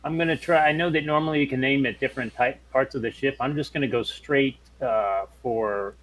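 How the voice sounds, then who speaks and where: A man's voice: mid-range voice, brisk, fairly bright tone, low-quality recording. A man playing a tabletop game, chatting casually as he works out his moves.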